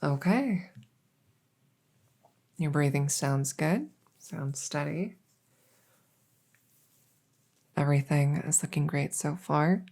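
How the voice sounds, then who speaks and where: A woman playing a gentle, reassuring veterinarian in a soft-spoken ASMR roleplay, hesitant and soothing as she explains. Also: low voice, takes it slowly, vocal fry, long pauses, exaggerated voice. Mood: gentle, coaxing. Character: gentle, reassuring female veterinarian examining a werewolf patient. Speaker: audio roleplay performer.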